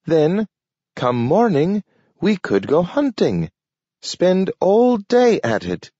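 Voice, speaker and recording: A cheerful young male dog speaks slowly and carefully, as a man reads him, each word shaped like a learner's, with a strange lilt. His voice sits far above a normal man's. The recording is dull and dry. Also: high-pitched, sing-song, dark tone, no echo, pitched above the performer's own voice, heavily acted. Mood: eager. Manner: careful pronunciation like a learner, odd intonation. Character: friendly, eager young male talking dog.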